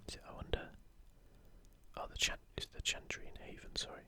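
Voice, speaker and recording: A man whispering softly and breathily in an unhurried, gentle ASMR commentary. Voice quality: soft, breathy unvoiced whisper. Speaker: gaming ASMR video creator. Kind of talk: whispered ASMR, casual commentary with passages read aloud.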